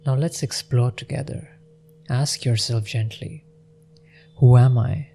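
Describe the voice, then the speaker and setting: A man leading a guided meditation in a gentle, unhurried manner with long pauses. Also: medium pitch, fairly even intonation, gravelly, a little hiss or hum.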